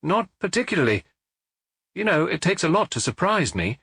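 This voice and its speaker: A hard, unsentimental middle-aged woman, as a male reader puts her on, answering in a raised, lilting voice with a husky edge. She is plainly unbothered, matter-of-fact, and shrugs off whatever she is asked, in a dry-sounding audiobook reading. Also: high-pitched, sing-song, moderate pace, a bit bright, no echo, voice pushed up, full character voice. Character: blunt unsentimental middle-aged woman, hairdressing shop owner.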